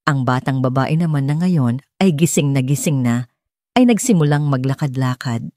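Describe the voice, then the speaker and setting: A woman's voice: low-pitched, warm tone, no room sound, clean studio sound. A woman reading a scripted romance story as an audiobook narrator.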